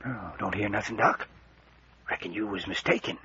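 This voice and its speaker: An actor playing a blustery, grumbling small-town mayor with a rural American accent in an old radio thriller. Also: male voice, on the low side, normal speed, sharp tone, slight background noise. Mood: grumbling, skeptical. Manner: folksy, grumbling. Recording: dull, lo-fi old radio recording.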